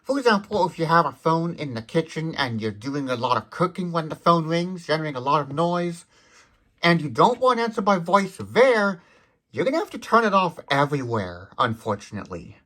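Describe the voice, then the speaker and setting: A mid-pitched voice of a product demonstrator talking through a device without a script. The delivery is explanatory and thorough, moving from one detail to the next. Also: very animated, moderate pace, fairly bright tone. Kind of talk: unscripted tech demonstration.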